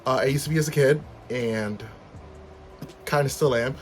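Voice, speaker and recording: A man hosting a casual rant vlog, slightly hoarse from being under the weather, chatty and animated with sudden high, upbeat outbursts. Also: moderate pace, faint music behind. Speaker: YouTube host.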